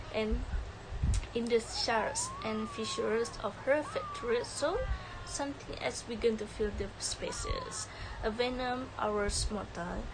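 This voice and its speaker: Slow young woman reading a script. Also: fairly high pitch, background noise. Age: young adult. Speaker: student presenting a movie review. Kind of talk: scripted narration.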